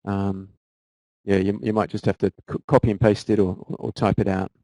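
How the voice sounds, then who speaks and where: A man's voice: on the low side, flat delivery, moderate pace, dark tone, dry recording, low-quality recording. A man with an Australian accent, a guest trader answering viewer questions in a casual live Q&A, often repeating words as he restarts sentences.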